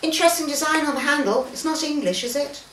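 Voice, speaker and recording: A woman voicing a cheerful, excitable gentleman who loves animals, keen and inquisitive, in a story reading. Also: high-pitched, fast, voice pushed up. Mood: intrigued. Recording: roomy, distant mic, faint background noise.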